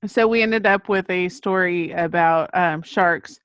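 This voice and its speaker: A woman's voice: fairly low pitch, unhurried, fairly bright tone, clear, resonant tone, no room sound. A middle-aged woman, an author appearing as a guest, telling anecdotes in a casual interview style. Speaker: children's book author, podcast guest.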